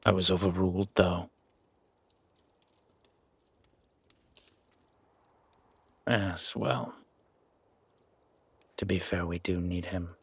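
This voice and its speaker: Man playing a very husky, low werewolf. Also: no room sound, heavily acted. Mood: dry, grudging. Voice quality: husky, gravelly rasp. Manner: slow, measured, dry, guarded. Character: gruff, wary male werewolf, protective founder of a sanctuary. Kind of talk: roleplay audio drama, intimate one-sided dialogue.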